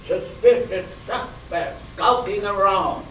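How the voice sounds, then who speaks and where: Man playing slow, deadpan police sergeant, echoey room. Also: high voice. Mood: businesslike. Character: male police sergeant, clipped, by-the-book detective parody. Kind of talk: amateur stage radio play, narrated police-drama parody. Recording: distant, echoey, muffled room with noise.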